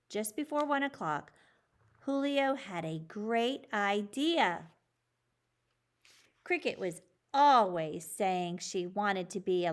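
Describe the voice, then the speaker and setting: A woman reading a children's book aloud in a measured, clearly phrased storytelling delivery. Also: mid-range voice.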